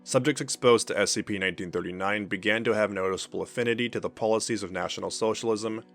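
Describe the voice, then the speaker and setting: Male narrator, lower register, formal measured read of a clinical fiction report, light music bed. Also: fairly bright tone.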